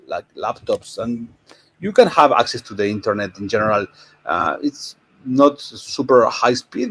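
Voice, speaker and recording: A man from Argentina with an Argentine Spanish accent, speaking as a panelist in an informal discussion and explaining things haltingly. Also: mid-range voice, husky.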